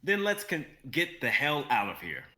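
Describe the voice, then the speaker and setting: A man's voice: on the high side, huge pitch swings, moderate pace, pushed and bright, heavily acted. A young man playing a casual, loyal and supportive adventurer in a dramatic reading. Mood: brisk. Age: young adult. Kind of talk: online dramatic script reading.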